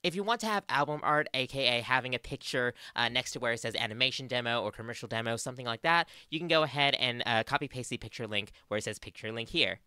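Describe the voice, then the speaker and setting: A woman's voice: a bass voice, quick pace, few pauses, sharp tone, clear, resonant tone, no echo. A young adult woman with an Australian accent presenting a scripted tutorial into a close studio mic. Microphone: close studio mic on boom arm.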